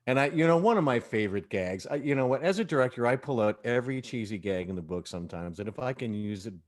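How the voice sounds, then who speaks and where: A man speaking as an audio drama director in a casual cast discussion, telling things in an animated way. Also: medium pitch, fast talker.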